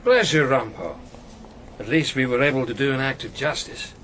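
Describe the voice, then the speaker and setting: A man's voice: medium pitch, a little fast, crowd noise, close to an everyday voice. A man playing a courteous, formal prosecutor in a radio drama, polite and clipped. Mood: cordial.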